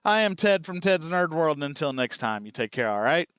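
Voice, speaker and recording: A man's voice: a little high, big pitch swings, brisk, fairly bright tone, clean tone, no echo, clean studio sound. A man hosting a reaction video, giving casual, rambling, informal commentary.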